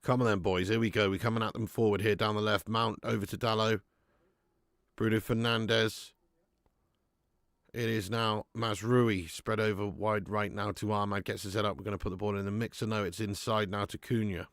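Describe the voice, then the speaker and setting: A man hosting a live fan watch-along of a football match at home, chatting to viewers with sweary banter and bursting into loud cheering at chances. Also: lowish voice, flat delivery, moderate pace, creaky, dry recording, clean studio sound. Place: at home in front of a camera.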